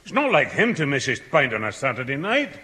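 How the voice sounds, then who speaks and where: A man's voice: medium pitch, huge pitch swings, brisk, pushed and bright. A man playing a blunt, grumpy old grandfather in an old radio sitcom. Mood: puzzled. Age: older. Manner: blunt, exasperated. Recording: echoey, hissy old radio recording.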